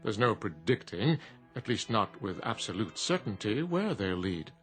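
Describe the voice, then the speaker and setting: An actor playing a sly genie in a radio drama, speaking in a formal, measured and precise way. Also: male voice, fairly low pitch, very animated, a bit bright, faint music behind. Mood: suave. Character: sly, smooth-talking genie granting wishes with relish.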